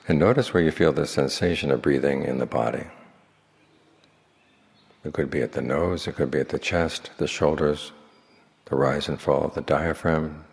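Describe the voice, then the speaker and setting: A man speaking as a Buddhist monk, leading a guided meditation in slow, measured phrases with long pauses. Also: a bass voice, darkish tone. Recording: long hall reverb.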